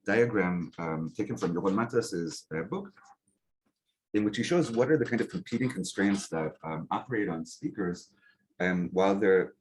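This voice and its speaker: A man giving an academic lecture to a seminar, relaxed and conversational, with frequent um and uh and rhetorical "right?" checks. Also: deep voice, poor audio. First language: English. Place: lecture hall. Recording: faint background noise, clicks.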